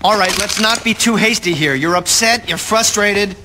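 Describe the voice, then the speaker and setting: An actor playing a smooth, scheming executive in a video game, glib, sardonic and polished. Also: male voice, high-pitched, moderate pace, background noise, close to an everyday voice. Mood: nervous pleading. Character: smooth, scheming male corporate executive, sardonic and self-assured. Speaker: corporate executive. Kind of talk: video game cutscene acting.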